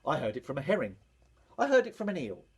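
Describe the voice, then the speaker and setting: A man's voice: fairly high pitch, huge pitch swings, fast talker, a little hiss or hum. A man voicing helpful sea creatures passing on a rumour in a lilting storybook delivery.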